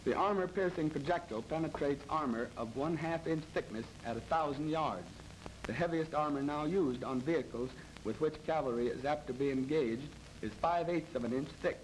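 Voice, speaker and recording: An American man narrates a military training film in a formal, measured, matter-of-fact 1930s instructional style. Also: on the high side. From United States. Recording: noisy old 1930s film soundtrack.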